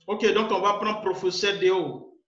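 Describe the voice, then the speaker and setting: A man's voice: high voice, fairly even intonation, unhurried, bright, forceful tone. A man with an Ivorian French accent hosting an online meeting, urging the members insistently and often repeating words. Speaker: meeting host. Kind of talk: exhorting a group in an online meeting. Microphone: video call. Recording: muffled echoey call audio.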